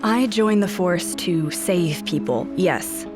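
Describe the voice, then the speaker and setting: An actress playing a guarded, haunted police officer in a scripted audio drama, speaking in terse, brooding, deliberate phrases. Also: female voice, on the low side, expressive. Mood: haunted, subdued. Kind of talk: scripted audio drama acting with first-person narration. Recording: voice over prominent music bed. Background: dramatic music.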